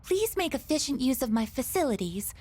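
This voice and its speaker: A woman's voice: high-pitched, sing-song, brisk, darkish tone, slightly rough, heavily acted. A woman voicing a polite, dutiful android combat weapon in a video game, speaking in formal, precise, earnest phrases. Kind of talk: anime video game voice acting.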